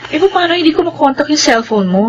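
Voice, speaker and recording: Villainous girlfriend, young, coy, scheming, lively swings in pitch, Filipino radio drama. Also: quick pace, dull audio, a little hiss or hum. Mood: coy, gloating.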